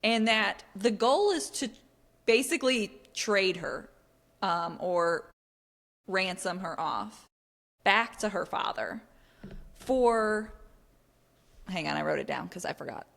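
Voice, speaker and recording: A woman's voice: mid-range voice, lively intonation, slow pace, creaky, long pauses, a bit bright, big-room sound. A woman co-hosting a book podcast, explaining things in an informal, chatty way. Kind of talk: casual book discussion.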